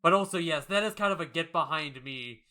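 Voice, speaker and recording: Man, co-host, casual commentary, playful, slightly high pitch, sharp bright voice. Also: lively intonation, brisk. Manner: playful, riffing. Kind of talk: casual comic commentary chat.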